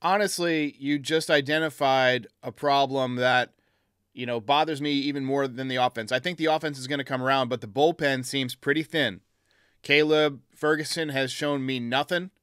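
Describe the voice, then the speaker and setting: A man's voice: mid-range voice, fairly even intonation, normal speed, fairly bright tone, clean tone, no room sound, studio quality. A man hosting a fan call-in sports show, giving blunt, emphatic opinions in a casual way.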